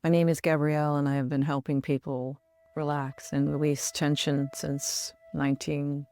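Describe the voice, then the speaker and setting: A middle-aged woman guiding a relaxation meditation in a soothing, measured manner with long pauses. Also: low voice, level tone, dark tone, clean tone, faint music behind. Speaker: yoga nidra meditation guide.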